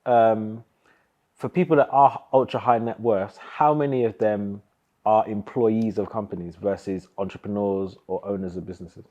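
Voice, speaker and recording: A man hosting a casual interview, pressing his guest with quick follow-up questions. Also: fairly low pitch, steady tone, warm tone. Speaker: interview host. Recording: slightly muffled audio.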